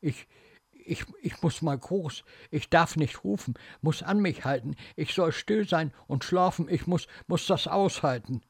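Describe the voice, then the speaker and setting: Frightened small boy, played by a man, anxious and pleading, higher-pitched, halting phrases, dramatic story. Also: husky, no room sound, clean studio sound. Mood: anxious, restrained. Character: frightened, obedient little boy confined in a hospital bed.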